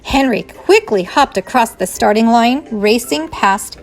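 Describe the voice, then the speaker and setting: Animated, high-voiced woman reading a storybook. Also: unhurried, faint music behind. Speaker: teacher reading aloud. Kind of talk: children's storybook read-aloud.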